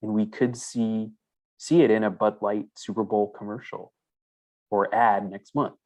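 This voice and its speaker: A man's voice: on the low side, fairly even intonation, unhurried, darkish tone, low-quality recording. A man hosting a crypto news show, reading stories aloud and adding chatty asides in a casual, conversational way.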